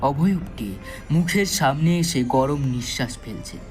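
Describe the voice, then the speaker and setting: A man's voice: mid-range voice, lively intonation, a little slow, darkish tone. A man narrating a scripted thriller story in a dramatic, suspenseful storytelling manner. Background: background music.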